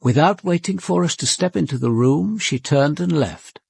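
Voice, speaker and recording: A reserved English police commander telling his case in a radio drama. The voice is gravelly and husky, in a dry, close sound. His delivery is reflective and precise, with lively intonation. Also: a little high, normal speed, dry recording, exaggerated voice. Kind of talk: first-person dramatic narration.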